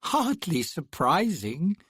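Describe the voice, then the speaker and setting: A man's voice: sits high, lively intonation, slow, mellow, dry recording, clean studio sound, voice pushed up, exaggerated voice. A man putting on a smirking young goddess. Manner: drawling, smirking. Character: young female immortal twin, smirking and defiant. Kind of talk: audiobook character reading.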